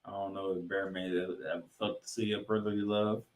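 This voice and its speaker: A man hosting a sports podcast, giving football commentary in an emphatic way, with dramatic pauses and repeated phrases. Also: low-pitched, level tone.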